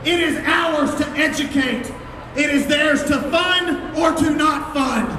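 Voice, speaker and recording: Echoing, high-pitched American man giving rally oratory. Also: slow. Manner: declamatory, emphatic, projecting loudly with dramatic phrasing. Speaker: school superintendent speaking at a rally. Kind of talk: impassioned political rally oratory. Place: outdoors on capitol steps before a large crowd. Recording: echoey outdoor PA, distant mic, noisy crowd. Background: loud crowd and ambient noise.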